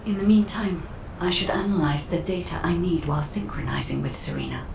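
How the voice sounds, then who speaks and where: An actress playing a serene, gently instructive AI guide in a video game, soft, measured and formal. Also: female voice, animated, creaky. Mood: calm, reassuring. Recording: echoey, distant, faint rumble behind.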